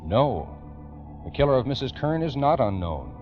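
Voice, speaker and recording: A man's voice: mid-range voice, sing-song, normal speed, muffled recording, soft background music. A man narrating a 1940s radio crime program in a grave, emphatic, urgent manner on an old recording. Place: radio studio.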